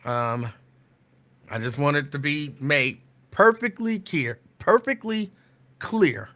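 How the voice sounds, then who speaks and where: Bright-voiced man chatting casually. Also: on the high side. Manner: relaxed, rambling, drawn-out repeats. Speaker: show host. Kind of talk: casual live-stream chat.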